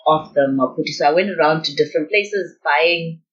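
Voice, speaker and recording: Slightly low-voiced young woman. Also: fairly quick. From Zimbabwe. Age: 20s. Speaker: interview guest, postgraduate researcher. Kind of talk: explanatory interview talk. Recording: dull lo-fi audio.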